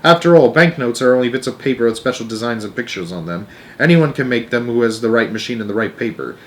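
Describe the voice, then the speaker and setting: A stern old storybook grandmother acted out by a man, knowing and authoritative. Each statement is given gravely and emphatically, as settled fact from long experience. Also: mid-range voice, animated, quick pace, a bit bright, a little hiss or hum, natural voice. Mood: emphatic.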